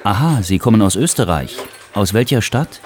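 A man reading hotel dialogue lines for a language course, plain and deliberate. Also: on the low side, expressive, darkish tone. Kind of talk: scripted textbook dialogue.

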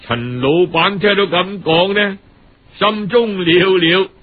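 High-voiced male Cantonese storyteller. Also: narrow, tinny audio. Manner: dramatic, colloquial, with drawn-out phrase-end particles. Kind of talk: traditional radio storytelling, audiobook narration.

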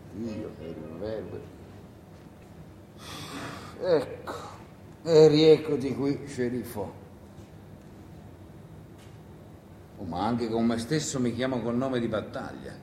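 A man's voice: medium pitch, animated, slow, pauses often, warm tone, soft background music. An actor playing a weary, hungry wartime partisan who mutters and rambles to himself in a theatrical monologue, breaking off his own sentences. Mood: weary muttering, then agitated. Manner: rambling, muttering, self-interrupting, exclaiming. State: tired. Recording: roomy echo with faint noise.

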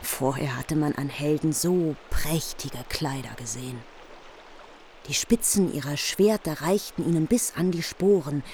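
An actress narrating a medieval heroic saga in a radio play, in composed literary prose. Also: female voice, low voice, unhurried, a little mellow, slight background noise. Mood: measured.

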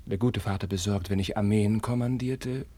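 Actor playing a husky, troubled young prince. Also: male voice, on the low side, steady tone, normal speed, warm tone, lightly acted. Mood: guarded, ironic. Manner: declamatory, theatrical, intense. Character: troubled young crown prince, ardent, bitter and restless. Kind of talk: 1950s German radio play, classical verse acting. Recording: dry 1959 radio studio recording.

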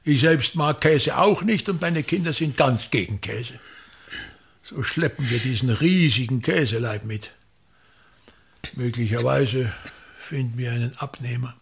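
Pompous old theatre boss, husky gravelly voice, grand complaining radio play rant. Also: male voice, medium pitch, a little slow. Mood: grandiloquent. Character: pompous aging male touring theatre director. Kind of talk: radio drama acting.

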